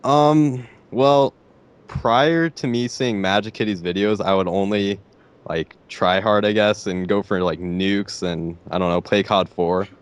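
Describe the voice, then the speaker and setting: A teenage boy with a deep voice, answering interview questions hesitantly and filling pauses with "like" and "I don't know.". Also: fairly even intonation, clean tone. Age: teens. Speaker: interviewee, gamer.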